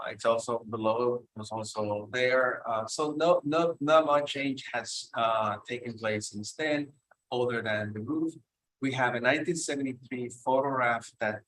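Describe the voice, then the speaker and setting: A man's voice: mid-range voice, pushed and bright, poor audio. A man from Cuba with a Cuban Spanish accent presenting an architectural preservation report over a video call at a public meeting. He is hesitant and often restarts his words.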